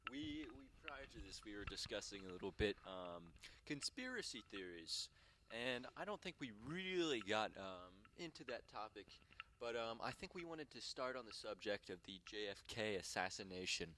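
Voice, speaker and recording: A teenage boy hosting a casual school podcast chat, laughing often, with a deep voice. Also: lively intonation, normal speed, pushed and bright, slight background noise. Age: teens. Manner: giggly, frequent bursts of laughter. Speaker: student podcast host.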